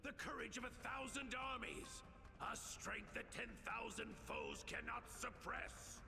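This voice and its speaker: Super gravelly game villain, fanatical cult boss, pitched high and theatrical, music behind. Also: male voice, fairly even intonation, unhurried, exaggerated voice. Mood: fanatical, menacing. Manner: theatrical, grandiose, scornful. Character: fanatical male cult leader, former spearman turned zealot. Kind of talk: video game villain acting.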